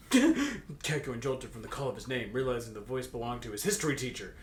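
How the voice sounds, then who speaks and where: A man's voice: mid-range voice, sing-song, normal speed. A man doing a comedic, mock-dramatic read-aloud of fan fiction with joking banter. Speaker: co-reader reading fan fiction aloud with commentary. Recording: echoey room with faint background noise.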